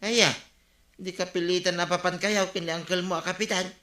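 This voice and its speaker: A man's voice: high-pitched, steady tone, a little fast, bright, forceful tone. A man playing a chatty village neighbour with an Ilocano accent in a radio comedy drama. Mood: inquiring. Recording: roomy, echoing studio sound.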